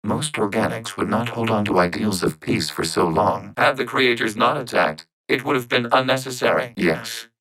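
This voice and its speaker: A low-voiced male robot with a synthetic, filtered sound. The delivery is formal and measured, each statement precise and logical. It is a calm, unemotional machine intelligence from a science fiction video game. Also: rough recording. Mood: flat, analytical.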